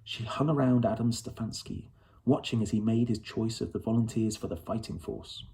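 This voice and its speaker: A man's voice: lowish voice, fairly even intonation, moderate pace, warm tone, low-quality recording. A man reading a storybook aloud as narrator. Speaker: audiobook reader.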